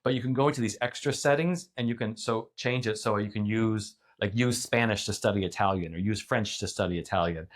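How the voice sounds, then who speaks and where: A man's voice: fairly low pitch, a little fast, hardly any pauses. A middle-aged man in a casual podcast interview, thinking aloud with many restarts and filler words. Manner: thoughtful, rambling, frequent restarts and "like". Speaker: podcast guest.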